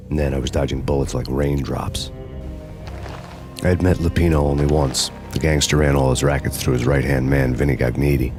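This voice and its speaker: An actor playing a weary, hard-boiled fugitive cop, narrating a noir video game in a terse deadpan. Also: male voice, rumbling low.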